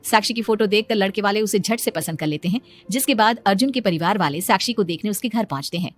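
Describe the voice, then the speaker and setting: A woman's voice: mid-range voice, fast talker, soft background music. A woman narrator reading a scripted story in a storytelling style.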